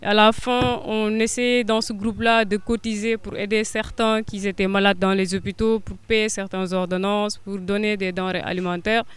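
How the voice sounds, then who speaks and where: A young woman with a Senegalese accent answers questions earnestly, pausing often and filling gaps with hesitations. Her voice is very smooth and clear, and her pitch stays fairly even. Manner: hesitant, many filler sounds, earnest. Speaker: guest. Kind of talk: interview answers.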